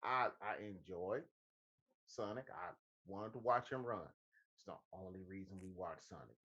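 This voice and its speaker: A man's voice: low-pitched, moderate pace, bright, forceful tone, slightly rough, muffled recording. A man co-hosting a show, bantering casually with emphatic, teasing delivery and repeated phrases. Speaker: podcast co-host.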